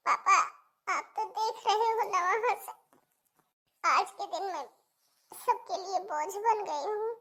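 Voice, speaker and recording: A woman's voice: very high voice, slow, pauses often, pushed and bright, exaggerated voice. A woman voicing a meek, sorrowful young widow in a melodramatic cartoon story, speaking in a plaintive way. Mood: tearful despair. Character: sorrowful, put-upon young widow in her brother's home. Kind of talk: melodramatic Hindi cartoon acting.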